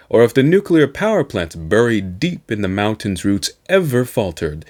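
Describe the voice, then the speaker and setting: A man reading a science fiction novel aloud as host, with a theatrical, deliberate delivery. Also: lowish voice.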